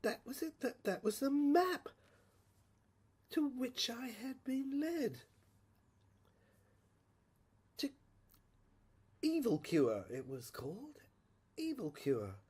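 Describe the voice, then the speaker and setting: A woman playing a tormented, haunted dreamer in an audio drama monologue, halting and repetitive, with a husky voice that slips into whispers. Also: on the high side, big pitch swings, darkish tone, full character voice. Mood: haunted, brooding. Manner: halting, repetitive, intense delivery. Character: tormented, haunted dreamer recounting a surreal inner ordeal.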